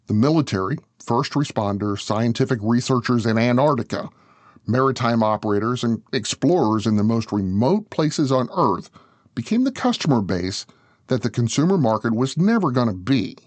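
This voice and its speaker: A man's voice: mid-range voice, lively intonation, normal speed, darkish tone, no room sound. A man narrating a scripted business documentary, talking straight to the listener in a conversational way. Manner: conversational, addresses the listener directly. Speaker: show narrator.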